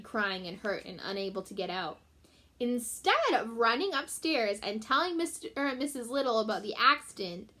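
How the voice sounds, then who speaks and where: Bright-voiced woman reading to children. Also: fairly high pitch. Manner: expressive, simple teacherly explaining. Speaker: teacher reading aloud to pupils. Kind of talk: children's storybook read-aloud with chatty asides.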